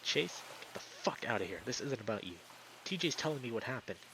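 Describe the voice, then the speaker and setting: A man's voice: medium pitch, sing-song, fairly quick, vocal fry. Man as male lizard character, aggressive, defensive, barking commands, visual novel acting, noticeable background noise. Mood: angry, dismissive.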